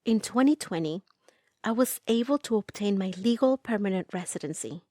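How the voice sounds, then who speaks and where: A woman's voice: medium pitch, animated, moderate pace, warm tone, no echo. A woman from the United States narrating a documentary about belonging, in an earnest, reflective way.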